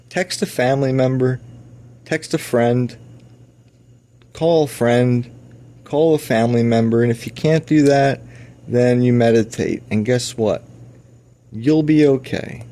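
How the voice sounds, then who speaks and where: A man speaking an earnest, confessional personal monologue, halting with many restarts. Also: mid-range voice, dark tone. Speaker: personal audio diarist.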